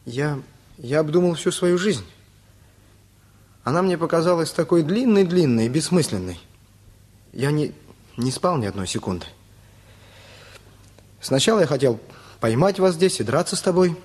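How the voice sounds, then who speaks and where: An actor playing a lovesick, wounded teenage boy, earnest and halting, in a radio play. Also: fairly high pitch, animated, a little mellow, breathy edge. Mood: anguished, confessing.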